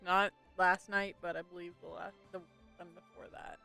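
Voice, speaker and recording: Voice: medium pitch, little pitch movement, moderate pace, vocal fry, sharp tone, faint music behind. A game streamer giving casual live commentary, informal and exclamatory, reacting aloud to the game.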